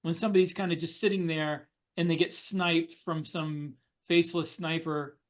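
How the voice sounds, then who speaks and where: A man with a somewhat high voice speaks as a podcast co-host in a relaxed panel chat. He deliberates earnestly as he makes his points. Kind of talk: casual panel discussion.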